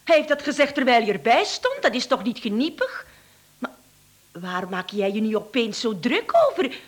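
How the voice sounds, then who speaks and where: Casting: concerned, reasoning woman, higher-pitched animated voice, coaxing, radio play. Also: normal speed. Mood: protesting. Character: concerned, placating woman reasoning with an agitated man.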